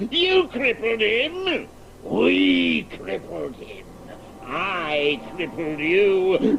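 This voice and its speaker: An actor playing a mocking, spiteful phantom parent in a 1980s radio drama, cackling and drawing out the words. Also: male voice, high-pitched, creaky, exaggerated voice. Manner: drawn-out, cackling, taunting delivery. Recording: faintly noisy old radio broadcast.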